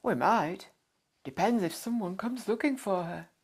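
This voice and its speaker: A woman voicing a kindly working-class Yorkshire father in a children's story, plain-spoken and measured. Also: medium pitch, expressive, husky. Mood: fond, pondering.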